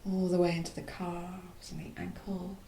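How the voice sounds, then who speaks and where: Low, creaky woman guiding relaxation. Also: level tone, warm tone. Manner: soothing, unhurried, pausing mid-phrase. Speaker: yoga nidra teacher. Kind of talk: guided relaxation meditation. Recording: roomy echo, faint low rumbling noise.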